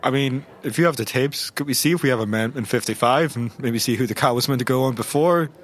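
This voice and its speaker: A man's voice: mid-range voice, fast talker, close to an everyday voice. A man playing a quizzical, pedantic agent who argues over details, in comic audio drama dialogue. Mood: argumentative. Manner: quizzical, nitpicking.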